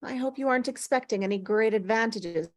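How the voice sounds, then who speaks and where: A woman performs an older female ghost with a higher-set voice and a very dry sound. She is melancholy yet sly, teasing with theatrical sighs and wry turns, as in a TV drama script read. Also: fairly quick, no room sound, lightly acted. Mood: wistful. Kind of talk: acted table read of a TV script.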